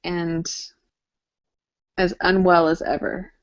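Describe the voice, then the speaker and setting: A woman co-hosting a casual chat show about a TV drama, speaking in an animated, exclaiming, teasing way. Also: fairly low pitch, unhurried, clean tone. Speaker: podcast co-host. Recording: dull lo-fi audio.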